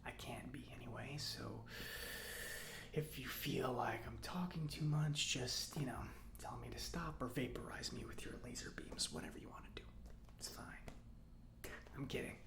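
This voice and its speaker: A man playing a friendly, nerdy, chatty robot mechanic in a soft-spoken ASMR roleplay, breathy and rambling with casual hesitations. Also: medium pitch, steady tone, moderate pace, reverberant, close to an everyday voice. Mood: geeky, easygoing. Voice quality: soft, breathy, often near whisper. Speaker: repair shop mechanic character.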